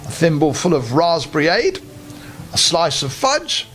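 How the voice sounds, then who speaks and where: A man's voice: on the high side, sing-song, measured pace, fairly bright tone. An older man reading a children's bedtime story expressively, speaking directly to his young listeners. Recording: clear background noise.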